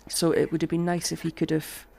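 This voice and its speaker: A woman chatting casually through gameplay commentary, her voice cutting out from a lingering cough as she thinks aloud with soft asides. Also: low-pitched, monotone, a little fast, vocal fry. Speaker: game streamer.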